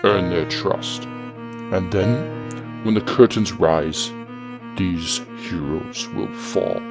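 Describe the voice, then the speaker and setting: An actor plays a coldly controlling older male villain in an audio drama. The voice is extremely low and very slow, deliberate and menacing. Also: vocal fry, music in the background, exaggerated voice. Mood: cold menace.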